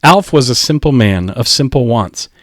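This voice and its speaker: A man's voice: mid-range voice, animated, moderate pace, no room sound, clean studio sound. A man narrating an audiobook, reading a scripted story expressively.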